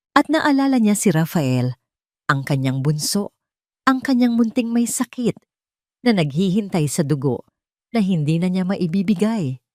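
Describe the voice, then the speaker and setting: A Filipino woman narrates a dramatic story from a script. Her voice sits fairly low, and the sound is dry and close. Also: darkish tone, dry recording.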